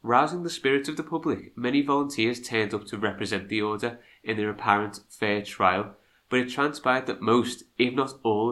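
A man's voice: lowish voice, sharp tone. A man with a congested, nasal voice from a cold, co-hosting a podcast and moving between scripted history narration and chatty asides. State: has a cold. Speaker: podcast co-host.

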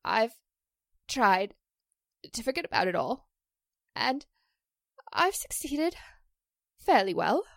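A woman playing a distressed, pleading stage actress in a 1940s-style radio drama, halting and emotional. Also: fairly high pitch, very animated, gravelly, a bit bright, no echo, studio quality, heavily acted. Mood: hurt, subdued. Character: distressed stage actress accused of a publicity stunt, pleading, wounded.

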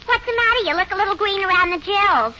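A muffled, noisy old radio recording carries a blunt young New York woman. Her voice is extremely high, and she rambles and argues with brassy, gossipy insistence in 1940s comedy style. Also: expressive, fast. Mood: tactless, insistent.

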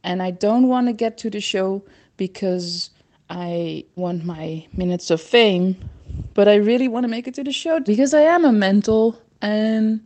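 A caller from the Netherlands with a Dutch accent leaves a voice message, rambling in an anxious, self-deprecating way. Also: female voice, fairly high pitch, warm tone.

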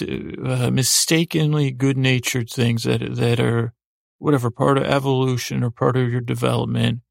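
A man's voice: mid-range voice, no room sound, studio quality. A man hosting a podcast in a rambling, informal bedtime monologue, hesitant and self-deprecating, often stammering and circling back. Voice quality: gravelly, creaky. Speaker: podcast host.

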